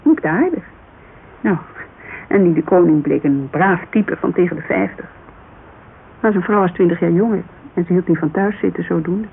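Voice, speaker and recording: Casting: talkative, loyal businesswoman, informal and gravelly, giving testimony in a radio play; narrow, hissy sound. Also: lowish voice, normal speed. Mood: earnest, loyal. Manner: informal, digressive, animated storytelling. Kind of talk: naturalistic radio drama dialogue. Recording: muffled, hissy old radio recording.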